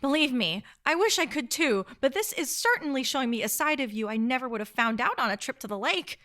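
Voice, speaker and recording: An earnest young female survivor in a radio play, acted by a woman. She argues from principle in a bright, highish voice, with a pointed delivery. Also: expressive, a little fast. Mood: indignant.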